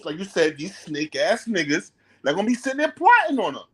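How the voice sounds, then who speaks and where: A man with a fairly high voice talking casually on a talk show. He tells stories in loose street slang and acts out quoted lines with energy. His delivery is lively and conversational. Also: brisk, breathy edge. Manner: animated, slangy storytelling with acted-out quotes. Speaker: podcast host. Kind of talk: casual chat.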